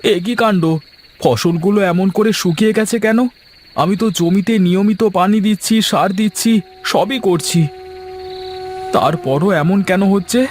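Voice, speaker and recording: A man voicing an excitable, puzzled young village farmer in a fairy-tale cartoon, repeating phrases and exclaiming, with music behind. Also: high-pitched, moderate pace, a little hiss or hum, natural voice.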